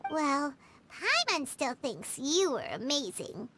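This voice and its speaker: A woman voicing a small, childlike, earnest fairy companion in a video game with a squeaky, bubbly delivery. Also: sing-song, takes it slowly, noisy background, full character voice. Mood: earnest, insistent. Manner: earnest, bubbly, third-person speech. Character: small, childlike, chirpy, well-meaning fairy companion girl. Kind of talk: cartoonish game voice acting.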